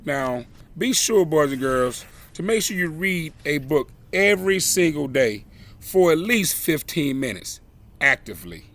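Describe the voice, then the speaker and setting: Slow, bright-voiced man reading a children's story. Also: a little high, very animated. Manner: dramatic, deliberate phrasing with exclamations acted out. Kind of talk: expressive read-aloud of a children's picture book.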